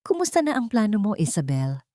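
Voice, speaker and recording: A woman's voice: medium pitch, wildly swooping pitch, a little fast, mellow, no echo, clean studio sound, pitched above the performer's own voice. A woman voicing a cold, threatening male creditor in an audio drama. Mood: menacing.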